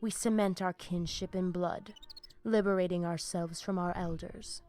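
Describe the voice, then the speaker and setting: A woman's voice: on the low side, fairly even intonation, moderate pace, darkish tone, lightly acted. An actress playing a proud, zealous female vampire pack leader in a video game, speaking in a solemn, grandiose, threatening manner. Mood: solemn fervour. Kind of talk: video game character dialogue. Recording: music behind the voice.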